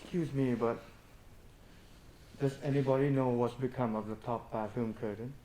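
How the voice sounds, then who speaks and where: Deferential butler played by a man, subdued and mellow, roomy stage drama. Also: medium pitch, steady tone, normal speed, lightly acted. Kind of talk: amateur stage play acting. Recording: echoey student stage recording, faint noise.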